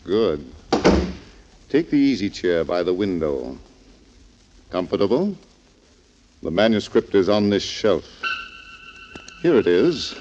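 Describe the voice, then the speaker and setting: A man playing a suave librarian who hosts a 1940s radio mystery show, narrating in a deliberate, theatrical, inviting way. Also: deep voice, sing-song, a little mellow, full character voice. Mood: inviting, ominous. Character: suave librarian host of a mystery club, narrating crime tales. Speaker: host and narrator. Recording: dull, noisy 1940s radio recording.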